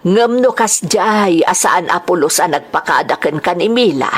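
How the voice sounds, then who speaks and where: A woman's voice: lowish voice, lively intonation, moderate pace, breathy edge. A woman acting an anguished, protective older mother in a radio-style melodrama, questioning plaintively with an Ilocano accent. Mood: troubled, questioning.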